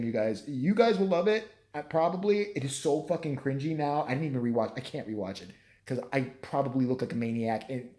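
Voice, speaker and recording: A tired man with a hoarse, nearly gone voice, rambling and swearing through a self-deprecating late-night vlog. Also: medium pitch, dark tone. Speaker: vlogger.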